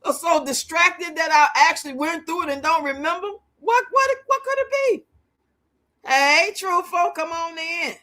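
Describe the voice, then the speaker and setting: Bright-voiced, high-pitched outspoken older woman. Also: moderate pace. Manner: blunt, outspoken, colloquial. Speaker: livestream host. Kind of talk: casual livestream chat with viewers.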